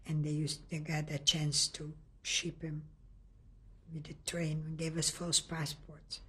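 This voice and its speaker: An older woman from Lithuania giving an oral history testimony in a halting way, often restarting and searching for words. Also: low voice, flat delivery, bright, forceful tone, breathy edge. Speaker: interviewee.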